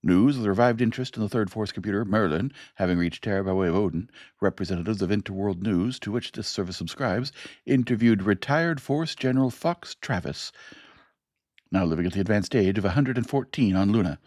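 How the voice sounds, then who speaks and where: A man voicing a news commentator reading a bulletin in a brisk announcer manner. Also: lowish voice, a little mellow, dry recording, clean studio sound, pitched above the performer's own voice, close to an everyday voice. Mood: announcing. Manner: announcer delivery, quick.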